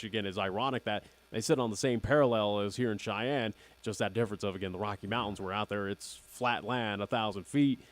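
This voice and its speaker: Young man rambling on radio. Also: fairly low pitch. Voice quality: slightly raspy, nasal. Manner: rambling, keeps repeating "again". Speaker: radio host. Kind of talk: casual radio chat.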